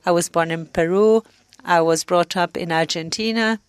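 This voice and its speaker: A woman giving a simultaneous interpretation of a conference talk, speaking in short halting phrases and correcting herself as she goes. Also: fairly low pitch, clean tone, no echo.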